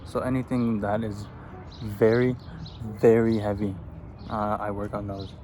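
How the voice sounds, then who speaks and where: Low, flat-voiced young man outdoors. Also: unhurried. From Afghanistan. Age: 20s. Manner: easygoing, answers questions in a loose, rambling way. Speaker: vlogger. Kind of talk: casual get-to-know-me chat while eating. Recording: outdoor recording with background noise and birds.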